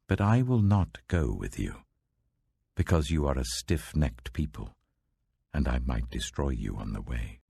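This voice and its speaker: A British man voicing God as a stern, majestic deity, slow, weighty and commanding, in a scripture reading. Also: a bass voice, very animated, gravelly, dark tone, slightly rough, no room sound, voice pushed down, full character voice.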